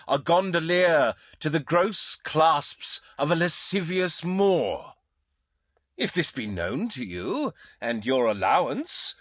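A man's voice: on the high side, fairly bright tone, no room sound, studio quality, exaggerated voice. An actor playing an anxious young male suitor who pleads earnestly and formally in a dramatised audiobook.

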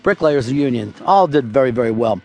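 A man hosting a radio talk show, taking callers and telling absurd tall tales with a deadpan, profane delivery. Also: medium pitch, brisk, faint music behind.

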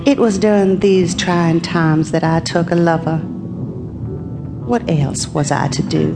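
An actress playing a discontented, scheming farmer's wife in an audio drama, with a deliberate, bitter delivery. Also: female voice, on the low side, steady tone, creaky, over music. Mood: bitter, confiding. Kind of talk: dramatic audio play with first-person narration.

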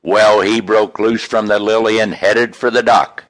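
A man reading his own poems in an expressive, folksy, homespun way, with casual introductions between them. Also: lowish voice, measured pace, no echo. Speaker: poet reading own work.

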